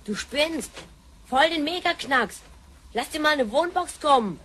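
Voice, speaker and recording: An actress playing a brash, spoiled, jumpy young granddaughter from the future in a sci-fi radio play, slangy and excitable. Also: female voice, high voice, a little slow, slight background noise. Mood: incredulous, scornful. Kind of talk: sci-fi radio drama acting.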